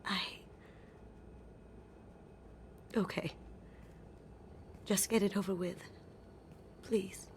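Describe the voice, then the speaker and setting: A woman's voice: mid-range voice, steady tone, slow pace, a little hiss or hum. A woman acting in a video game as a sentimental master thief, speaking in a conversational, wistful way. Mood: pained, reluctant. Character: sly, sentimental female master thief, grieving her lover. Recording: loud game ambience behind voice.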